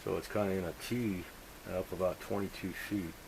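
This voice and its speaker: A man talking shop as a radio hobbyist, halting and rambling as he thinks out loud. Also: deep voice, steady tone. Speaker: amateur radio operator. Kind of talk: casual technical radio chat. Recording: hissy, noisy radio contact recording.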